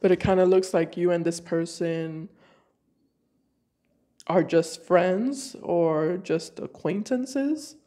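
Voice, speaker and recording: A woman's voice: on the low side, takes it slowly, mellow. A woman reading tarot cards for her viewers, talking casually and thinking aloud with hesitant restarts, while sick with a cold. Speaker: tarot reader. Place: home room with decorated card table.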